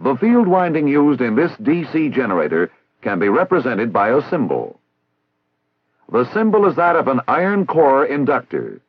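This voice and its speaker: Male narrator, American, for vintage 1960s instructional film; formal and didactic, husky edge, very dry lo-fi sound. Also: medium pitch, sing-song, moderate pace, dry recording. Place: recorded voice-over.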